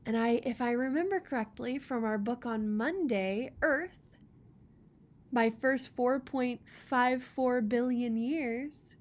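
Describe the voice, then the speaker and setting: A woman's voice: a little high, takes it slowly. A woman reading a children's picture book aloud as storyteller, expressively, with chatty casual asides.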